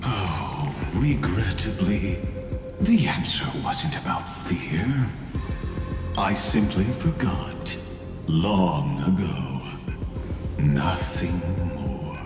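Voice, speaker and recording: Deep, slow, arrogant genius villain. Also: male voice, animated, heavily acted. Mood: cold, dismissive. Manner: theatrical, deliberate, condescending. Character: cold, arrogant genius villain man, grandly dismissive. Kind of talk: dramatic game voice acting. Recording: echoey long reverb under clear music.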